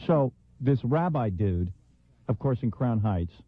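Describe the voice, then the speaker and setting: A man's voice: medium pitch, expressive, a little slow, dry recording. Sarcastic male radio host, lo-fi. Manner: sarcastic, joking. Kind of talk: casual radio banter. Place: New York radio studio. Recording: lo-fi phone-like radio broadcast.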